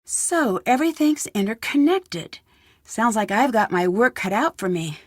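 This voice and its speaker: A woman's voice: on the high side, sing-song, measured pace, no echo, clean studio sound. A woman playing a polite, curious young female detective in a video game, asking questions in an earnest, composed, helpful way. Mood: inquisitive, earnest. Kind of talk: video game character dialogue.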